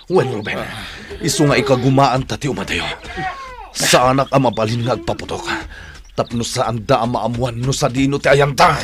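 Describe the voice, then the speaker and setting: An actor playing a protective, devoted older brother on the run, speaking emotionally in a radio drama. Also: male voice, mid-range voice, moderate pace, music in the background, lightly acted. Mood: urgent, encouraging. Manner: pleading, emotional. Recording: noisy radio drama recording with clicks.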